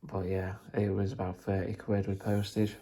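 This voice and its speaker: A man's voice: low voice, level tone, moderate pace, mellow. A man explaining things casually and tentatively in an unscripted, rambling vlog. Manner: tentative, self-deprecating, rambling. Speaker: vlogger, hobbyist trying out radio gear.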